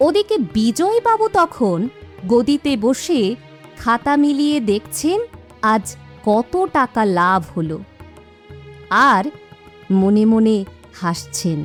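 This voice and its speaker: A woman narrates a scripted cartoon story in a high voice. She takes it slowly, with lively rises and falls, and light music behind her.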